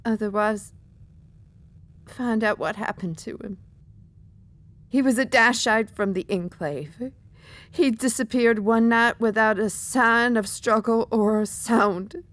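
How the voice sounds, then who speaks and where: Woman as a slow, weary pegasus mercenary captain. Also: fairly high pitch, dry recording. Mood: grieving. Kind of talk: audio drama acting.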